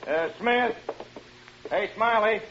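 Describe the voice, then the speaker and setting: An actor playing an easygoing radio-station man who is trying to stay calm while worried, in a hesitant, colloquial 1940s radio drama manner. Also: high-pitched, takes it slowly. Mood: calling out. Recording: muffled, noisy vintage radio recording.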